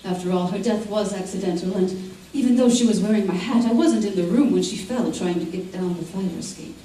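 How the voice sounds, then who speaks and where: A cold, manipulative schemer of a woman, played by a stage actress in a vintage-style radio play. Her voice is soft-edged and mellow, with a measured, insinuating theatrical delivery. It rings through a very echoey hall, picked up from a distance. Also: medium pitch, lightly acted. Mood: cool confessional. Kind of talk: old-time radio drama acting on stage. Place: theater stage before a live audience. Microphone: stage mics, recorded by far camera. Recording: echoey stage hall, far mic, faint noise.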